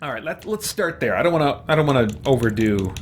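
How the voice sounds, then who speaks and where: A man's voice: mid-range voice, animated, fast talker, a little hiss or hum. A man giving casual, opinionated let's-play commentary as a gaming streamer, musing in a conversational way. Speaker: gaming streamer playing a role-playing game.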